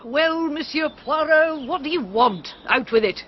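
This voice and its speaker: A woman's voice: sits high, animated, unhurried. Radio drama: brusque middle-aged Englishwoman, blunt, clipped, no-nonsense, muffled recording with noise.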